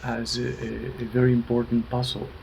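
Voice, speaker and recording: A man explaining his work as an interview guest, thoughtfully and haltingly, a few words at a time. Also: mid-range voice, completely flat delivery, vocal fry, a little mellow, muffled recording, slight background noise. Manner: halting, thoughtful, word-by-word phrasing. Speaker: guest, alternative wellness practitioner.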